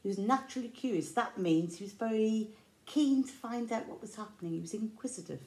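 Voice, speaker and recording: Expressive middle-aged English woman reading aloud. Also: mid-range voice, a little fast. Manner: expressive, explaining words simply. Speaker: infant school teacher. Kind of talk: reading a picture book aloud to young children. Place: indoor room with large windows. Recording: roomy echo.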